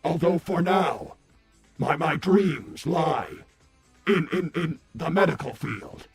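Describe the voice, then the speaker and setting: A man voicing an earnest, polite robot on a comedic livestream, speaking formally and haltingly with repeated words. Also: on the high side, animated, breathy edge, faint music behind, exaggerated voice.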